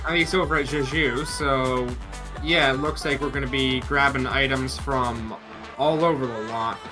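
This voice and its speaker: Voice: mid-range voice, fairly even intonation, normal speed, music in the background. A teammate on a game voice chat, casually thinking aloud and working out a plan. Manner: thinks aloud, explains plans.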